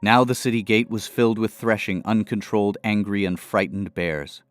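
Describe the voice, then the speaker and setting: A man narrating a scripted fantasy adventure in a dramatic, measured storytelling manner. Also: fairly low pitch, fairly even intonation, no room sound, faint music behind.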